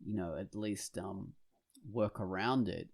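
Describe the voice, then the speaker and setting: A man co-hosting a podcast, speaking casually in a halting, reflective way. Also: deep voice, creaky, darkish tone. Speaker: podcast co-host. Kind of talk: casual conversational discussion.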